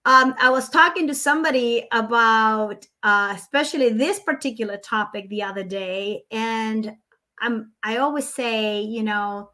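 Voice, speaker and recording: A woman from Nicaragua whose first language is Spanish speaks as a podcast guest. Her voice is bright and fairly high, and her manner is friendly and chatty, with ums and easy laughter. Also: a little slow. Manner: friendly, laughs easily, filler-heavy. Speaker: guest, mortgage consultant. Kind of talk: casual podcast interview.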